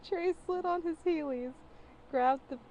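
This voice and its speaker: A woman's voice: very high voice, measured pace, a little mellow, highs cut off, slight background noise. A woman doing a casual dramatic reading of fan fiction, giggly and incredulous, breaking off for mocking asides.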